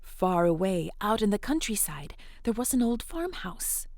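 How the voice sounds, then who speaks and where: A woman's voice: mid-range voice, measured pace, no echo, clean studio sound. A woman telling an interactive fairy tale to children in a playful, encouraging, chatty way. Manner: encouraging, animated, chatty asides to young listeners. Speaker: storyteller, host of interactive children's fairy tales.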